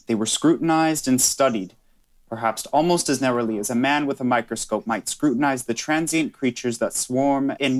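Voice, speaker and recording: A man's voice: medium pitch, lightly acted. A man playing a grave, solemn storyteller, giving scripted narration in a 1930s radio drama. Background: piano music.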